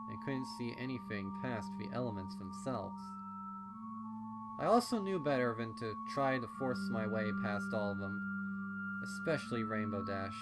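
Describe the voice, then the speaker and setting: Man narrating a story over loud music. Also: fairly low pitch. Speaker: fan fiction reader. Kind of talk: first-person story narration.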